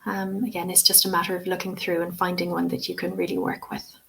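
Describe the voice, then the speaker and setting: A woman's voice: on the low side, level tone, quick pace, vocal fry, pushed and bright, a little hiss or hum, rough recording. Chatty Irish woman presenting a webinar. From Ireland. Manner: friendly, chatty, many "um"s and "you know"s. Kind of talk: live webinar Q&A.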